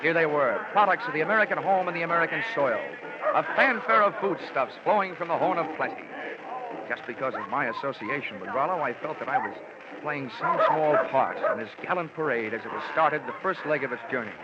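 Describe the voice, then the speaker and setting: An actor playing a wry, hard-boiled insurance investigator in 1940s radio style, with deadpan quips. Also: male voice, on the high side, brisk, lightly acted. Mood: dramatic narration. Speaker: lead actor and narrator. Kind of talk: 1940s radio detective acting. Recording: noisy, muffled 1940s radio recording.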